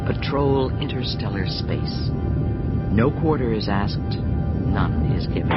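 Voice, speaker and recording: A man narrating a science fiction radio play in a grave, emphatic scripted style. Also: on the low side. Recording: dull sound under loud music.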